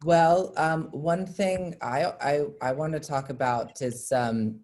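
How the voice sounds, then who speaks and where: A woman's voice: deep voice, takes it slowly, darkish tone, slight background noise. A woman narrating a staged reading and moderating its informal question session. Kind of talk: reading stage directions, then informal audience Q&A.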